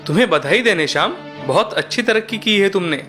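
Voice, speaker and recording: A man's voice: high-pitched, expressive, brisk, pushed and bright, soft background music. A man voicing a concerned, persuasive elder brother, earnest and reasoning, in a cartoon story. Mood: warm.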